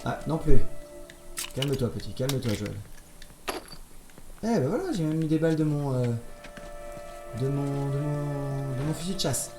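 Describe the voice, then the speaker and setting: A man's voice: medium pitch, animated, moderate pace, long pauses. A man casually commenting on his gameplay, chatty and playful, with jokey asides to his viewers. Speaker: let's play host. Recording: roomy sound with loud game music.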